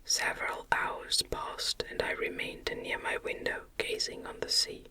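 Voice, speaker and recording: A narrator reading a classic novel in a soft, breathy ASMR whisper, with a soothing and deliberate cadence. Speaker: audiobook narrator.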